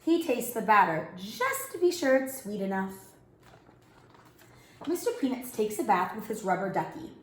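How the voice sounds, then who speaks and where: A woman's voice: medium pitch, big pitch swings, moderate pace, slight background noise. A woman working as a storyteller reads a picture book aloud expressively, addressing young children. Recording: roomy, echoing indoor sound.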